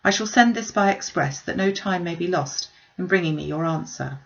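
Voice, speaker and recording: A woman's voice: on the low side, moderate pace, vocal fry. A woman narrating an audiobook, reading a classic novel aloud. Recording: slightly dull, muffled recording.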